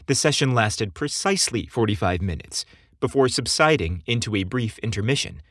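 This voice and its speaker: Husky male storyteller, very animated. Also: on the low side, moderate pace, dry recording, clean studio sound. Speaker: podcast host and narrator. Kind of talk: scripted story narration. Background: music behind the voice.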